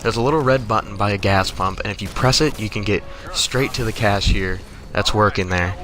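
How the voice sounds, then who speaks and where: A man's voice: fairly low pitch, fairly quick, a bit bright. A young American man telling casual comic stories over gameplay in a profane, exasperated, conversational way. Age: young adult. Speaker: gaming video creator. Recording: noticeable background noise.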